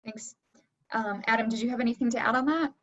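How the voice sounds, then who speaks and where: A woman's voice: a little high, fast talker, fairly bright tone. A woman moderating a panel in a casual hosting style, recorded with dull, lo-fi sound.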